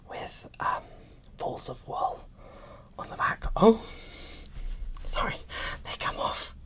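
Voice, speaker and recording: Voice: medium pitch, poor audio. Whispering ASMR creator. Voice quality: breathy whisper. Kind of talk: whispered ASMR unboxing commentary.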